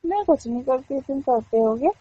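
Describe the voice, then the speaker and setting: Tinny phone call, coy high-voiced young woman. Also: animated, moderate pace, no room sound. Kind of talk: intimate casual phone chat.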